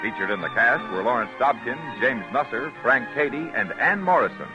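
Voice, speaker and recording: Animated 1950s American radio announcer, male. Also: medium pitch, a little fast. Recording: muffled vintage radio recording with music.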